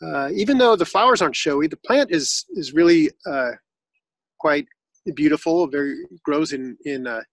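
A man giving a casual tour of his backyard garden, explaining plants in a relaxed, informative way with some fillers. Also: fairly high pitch, moderate pace, dry recording.

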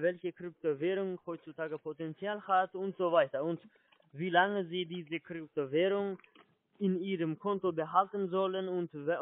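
A man with a foreign accent, not a native German speaker, giving a halting phone sales pitch and often checking he is followed. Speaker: telephone sales agent, scammer. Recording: muffled low-fidelity phone line.

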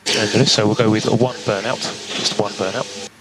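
A man giving calm, matter-of-fact, terse instructions to a driver over team radio as a race engineer. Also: fairly low pitch, normal speed. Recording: team radio with faint background noise.

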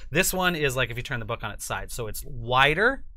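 Man, forties, bright, forward voice, dry studio sound, casual explanatory guest talk. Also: medium pitch, expressive, brisk, no room sound. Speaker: guest, game publisher. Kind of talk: casual product talk on a livestream. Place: small indoor studio set with sofa and curtains.

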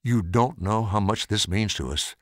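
An older man voicing a kind, gracious woman who runs an orphanage in a storybook, gentle and polite. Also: on the low side, lively intonation, normal speed, dry recording, clean studio sound. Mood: grateful.

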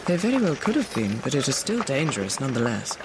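A woman's voice: very deep voice, big pitch swings, fast talker, a crowd in the background, background noise. A woman playing a well-spoken young plains warrior with formal, precise speech in a fantasy audio drama. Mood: polite, reserved.

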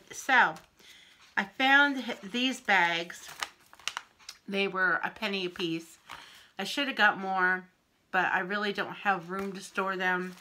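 Brief: middle-aged woman with a Pennsylvania accent, wheezy, slow and chatty, bright voice, small home kitchen, faint hiss. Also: medium pitch. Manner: chatty, unhurried, friendly show-and-tell. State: wheezy, clearing her throat. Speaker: craft haul vlogger. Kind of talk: casual haul video. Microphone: camera in front at arm's length.

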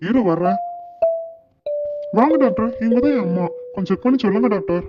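A man's voice: high voice, brisk, close to an everyday voice. Man voicing an anxious husband, muffled sound. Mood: earnest. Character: anxious put-upon adult son and husband. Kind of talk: Tamil comedy cartoon voice acting. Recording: dull lo-fi sound, loud music behind.

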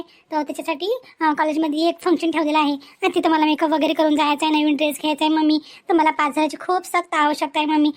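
A woman's voice: high-pitched, lightly acted. A woman voicing a scheming young college-student daughter in a family cartoon, wheedling, insistent and touchy. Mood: pleading. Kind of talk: cartoon family comedy acting.

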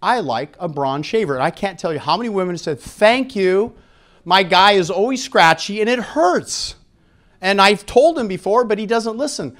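A man's voice: high-pitched, expressive, normal speed, fairly bright tone. A middle-aged man giving a frank, informal live lecture in a jokey, conversational way, speaking into a handheld microphone. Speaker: conference speaker on sexual health. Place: indoor conference room with seated audience.

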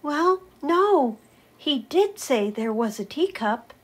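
An excitable little bird from a picture book, voiced by a woman. It pipes up in a raised pitch, inquisitive and quick to exclaim. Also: full character voice. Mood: wavering. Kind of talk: storybook read-aloud character voice.

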